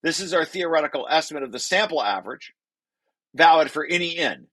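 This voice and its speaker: A man reading aloud from a technical paper and explaining it informally, halting and correcting himself as he repeats words. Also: fairly high pitch, animated, fairly bright tone, no echo. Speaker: presenter and paper author.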